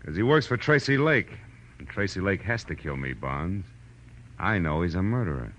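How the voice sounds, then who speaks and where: A man's voice: low-pitched, very animated, normal speed, fairly bright tone, slight background noise. A man playing a wry, hard-boiled private detective in a 1940s radio noir drama, with clipped tough-guy delivery. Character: hard-boiled male private detective, wry and sardonic, narrating his case. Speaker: lead actor and narrator. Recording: dull vintage radio recording with music.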